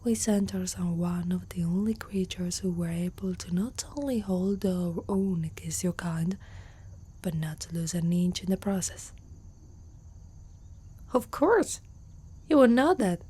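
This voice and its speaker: Woman voicing a slow, breathy, proud centaur girl, Italian accent. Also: on the low side, mellow. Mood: smug, intimidating. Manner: haughty, slow, often dropping into whispers. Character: proud, guarded young female centaur warrior, gruffly protective. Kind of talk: ASMR roleplay.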